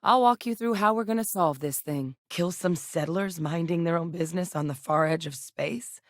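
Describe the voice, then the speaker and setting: An actress voicing a composed female ex-soldier companion in a video game, speaking in a measured, earnest way. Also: fairly low pitch, no room sound, clean studio sound, close to an everyday voice. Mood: steady. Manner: measured, earnest, matter-of-fact. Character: composed female ex-soldier scientist, duty-bound. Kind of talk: recorded game voice lines. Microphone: close studio microphone.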